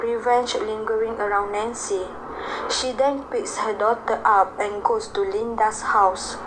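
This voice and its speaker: A woman's voice: fairly high pitch, level tone, a little slow. A woman reading scripted radio drama narration in a dramatic storytelling manner. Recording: clear background noise.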